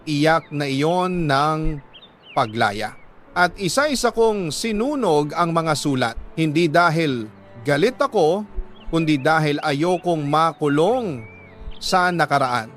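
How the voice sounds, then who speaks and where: A man with a Filipino accent reads a letter as a dramatic first-person story, in a measured, emotive way with drawn-out pauses. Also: fairly high pitch, expressive, a bit bright, soft background music. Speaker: radio storyteller reading a listener's letter.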